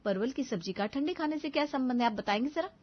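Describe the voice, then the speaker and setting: Woman acting high-voiced put-upon elder sister-in-law. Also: lively intonation, fast talker, dull audio. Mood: suspicious. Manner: wry, scolding, put-upon. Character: weary, teasing elder daughter-in-law, wry and reproachful. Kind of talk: animated family story acting.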